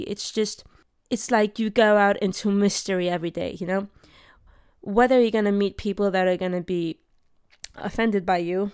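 A woman's voice: medium pitch, darkish tone, clear, resonant tone, no echo, clean studio sound. A young woman from Denmark hosting her own episode, talking in an earnest, heartfelt way and searching for her words. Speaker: podcast host. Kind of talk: personal monologue.

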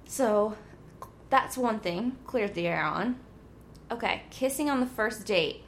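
A woman's voice: medium pitch, expressive, slow pace, a little hiss or hum. A young woman in her twenties hosting a casual podcast, giggly and teasing, close to the mic. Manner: bubbly, giggly, teasing banter. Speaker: podcast host. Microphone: close podcast mic, loud.

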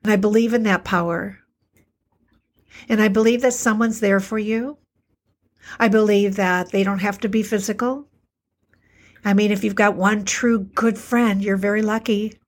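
A middle-aged woman's voice, a touch hoarse, in an earnest solo podcast talk. She speaks at a medium pitch and returns to the same phrases again and again. She slows down on key words to stress them. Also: steady tone. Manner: earnest, repetitive, slows for emphasis. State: a little hoarse. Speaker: podcast host, alternative health practitioner.

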